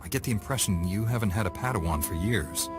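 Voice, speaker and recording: A young Jedi knight who would rather act than talk, voiced by a man. His voice is very deep and his delivery is plain and direct. Orchestral game music plays clearly behind him. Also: expressive, fast talker, close to an everyday voice. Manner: direct, dry. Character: blunt young Jedi knight hero, eager for action. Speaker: Jedi apprentice.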